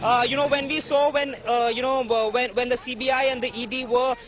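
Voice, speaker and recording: A woman reports live for the news without a script. She speaks in a high voice and keeps pausing on fillers. The link sounds dull and lo-fi. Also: fairly even intonation. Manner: halting, filler-heavy delivery.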